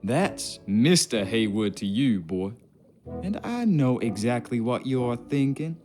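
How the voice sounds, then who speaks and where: An actor playing a gruff, mocking bosun on a merchant ship in a period drama game. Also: male voice, mid-range voice, big pitch swings, takes it slowly, a little mellow, faint music behind. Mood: mocking, stern. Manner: barking, taunting, commanding. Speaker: actor in a narrative adventure game.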